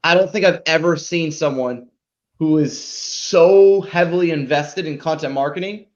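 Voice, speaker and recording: A man's voice: on the high side, moderate pace. A man hosting a live show, casually presenting and critiquing in an emphatic, informal way, often tagging points with a question for agreement. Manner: peppers talk with tag questions seeking agreement. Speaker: host of a live marketing show. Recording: dull lo-fi audio.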